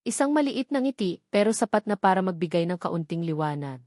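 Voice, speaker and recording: A woman narrating a love story in a measured, emotive way as the storyteller of an audio drama. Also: fairly low pitch, sing-song, mellow, no echo, clean studio sound.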